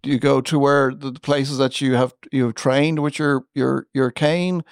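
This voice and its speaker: A male host chats casually and asks long, rambling questions. His voice sits at a middle pitch in a dry, close recording. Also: little pitch movement, normal speed, clear, resonant tone, no echo. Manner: chatty, laughing, rambling questions. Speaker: podcast host. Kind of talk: casual chat.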